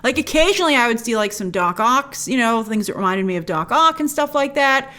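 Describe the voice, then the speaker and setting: A woman with a fairly high voice delivers an opinionated review. Her pitch swings widely as she talks. She is emphatic and informal, speaking as a show host in a conversational style. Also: animated, a little fast, fairly bright tone.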